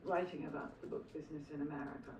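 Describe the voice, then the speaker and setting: An older woman from Philadelphia with some English traces in her accent gives an acceptance speech in a low voice. She speaks in a reflective way with small hesitations. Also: fairly even intonation, very fast, a little mellow. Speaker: honoree giving acceptance speech. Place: school hall at an awards ceremony. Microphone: podium microphone. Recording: echoey hall, distant mic.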